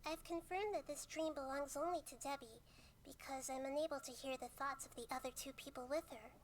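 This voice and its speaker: A woman voicing a small childlike goddess in a video game, soft and youthful, with a measured, polite and thoughtful manner. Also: high-pitched, fairly even intonation, natural voice. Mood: gentle. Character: small childlike female deity, wise, gentle and composed. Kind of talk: video game dialogue acting.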